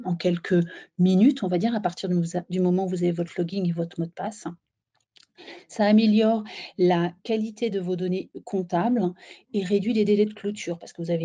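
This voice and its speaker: A woman presenting a software webinar in an explanatory, somewhat halting way, as if walking listeners through slides. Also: fairly low pitch.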